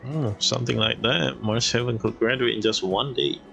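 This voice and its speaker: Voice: mid-range voice, fairly even intonation, moderate pace, creaky. A game streamer reacting casually while playing, with murmured asides and game music faintly behind.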